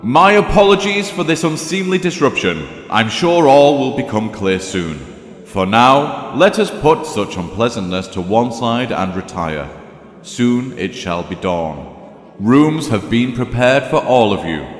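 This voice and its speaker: A man's voice: mid-range voice, very animated, a little slow, soft background music. An actor playing a regal vampire king in an audio drama, speaking in a formal, courtly and imperious manner. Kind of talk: theatrical audio drama acting.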